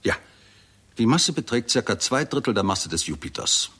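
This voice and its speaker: A husky-voiced, low-pitched astronomy professor with lively swings of intonation. He is confident, insistent and impatient, arguing precisely in the manner of a sixties radio play. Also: moderate pace, exaggerated voice. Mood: doubtful, probing. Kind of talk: 1960s German radio drama acting.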